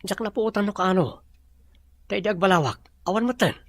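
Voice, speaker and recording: An actor plays a commanding, scheming boss in an audio drama. His pitch is a little high for a man, and the recording is dry. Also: normal speed, fairly bright tone, no room sound. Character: scheming male boss giving orders to an underling. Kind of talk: Ilocano audio drama acting.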